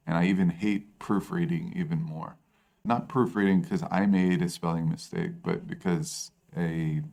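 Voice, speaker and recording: A man co-hosting a podcast in casual chat, with a low bassy voice and a self-deprecating, rambling way of talking. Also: vocal fry. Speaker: podcast co-host.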